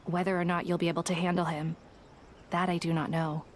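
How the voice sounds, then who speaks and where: A woman playing a calm, shrewd young woman in a video game, speaking in a measured, matter-of-fact, dry way. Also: on the low side, slight background noise, natural voice. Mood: composed. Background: game music.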